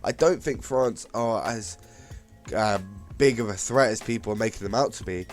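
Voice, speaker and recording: Host: man, lowish voice, raspy from a cold, casual chat, faint music under. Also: normal speed. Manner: chatty, frequent fillers and restarts. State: ill, slightly hoarse. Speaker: football channel host. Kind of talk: casual predictions talk to camera.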